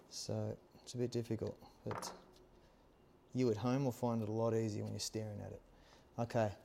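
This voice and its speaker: A man's voice: fairly low pitch, creaky, mellow. A man, talking through a practical demonstration in a casual, reassuring way and often checking in with short questions. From Australia. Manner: informal, reassuring, often checks with "okay?" and "all right?". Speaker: instructor, helmet accessory maker. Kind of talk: casual hands-on tutorial. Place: small workshop studio indoors, seated at workbench.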